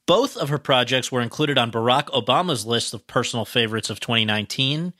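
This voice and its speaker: Bright-voiced male podcast host. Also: mid-range voice, no echo, studio quality. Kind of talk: scripted intro then interview questions.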